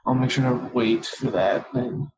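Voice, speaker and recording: A man's voice: medium pitch, normal speed, noisy background. A man chatting casually as a player in a tabletop game, blunt and slangy, with some swearing.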